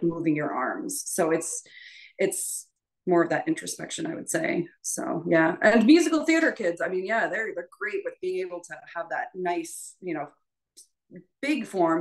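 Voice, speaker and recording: Halting, conversational woman voice teacher, slightly low. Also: poor audio. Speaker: voice teacher leading an audition prep session. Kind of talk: informal spoken lesson.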